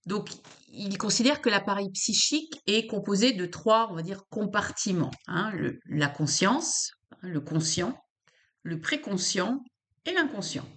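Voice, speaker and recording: A woman's voice: medium pitch, lively intonation, unhurried, a bit bright. A woman from France, a psychopractitioner explaining psychology in an informal talk to camera, hesitant with many fillers and repeated words.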